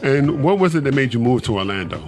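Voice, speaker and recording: A man hosting an interview show, speaking haltingly with many uhs and restarts. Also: medium pitch, sing-song, over music. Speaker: podcast host.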